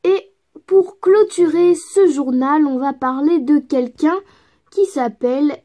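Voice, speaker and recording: Slow, high-voiced woman presenting, halting delivery. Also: warm tone. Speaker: presenter of a homemade toy news show. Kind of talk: amateur news presenting and step-by-step narration.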